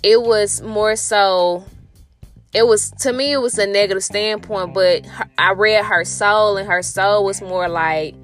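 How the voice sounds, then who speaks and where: A woman's voice: medium pitch, fairly even intonation, unhurried, a bit bright, faint music behind. A middle-aged woman telling a personal story in a rambling, reflective way, with many restarts and fillers and a spiritual turn. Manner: rambling, full of "you know" and restarts. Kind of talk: casual spoken storytelling.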